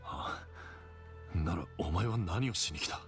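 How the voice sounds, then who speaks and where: A man's voice: low-pitched, moderate pace, faint music behind, natural voice. An actor playing a bitter, defiant male warrior with a scornful, intense manner in an anime game. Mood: suspicious. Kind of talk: anime game voice acting in Japanese.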